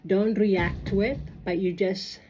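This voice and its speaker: A woman who speaks English as a second language, reflecting casually on her own experience as a migrant in a halting, rambling, earnest way. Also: mid-range voice, fairly even intonation, darkish tone, a little hiss or hum. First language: non-native English speaker. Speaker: migrant sharing personal experience.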